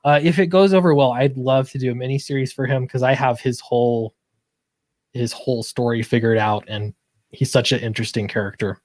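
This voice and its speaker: Male interview guest, earnest and conversational, medium pitch, very little room sound. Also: fairly even intonation, brisk, a little mellow, no room sound. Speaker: guest comic book creator. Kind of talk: casual interview chat about own project.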